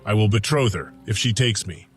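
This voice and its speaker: A man's voice: on the low side, expressive, lightly acted. Man acting as a solemn, lovestruck god over music. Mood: resolute. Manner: grave, formal, measured with weighty pauses. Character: lovestruck, brooding Anunnaki god, solemn and defiant. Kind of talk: dramatic first-person audiodrama monologue. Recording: music behind the voice.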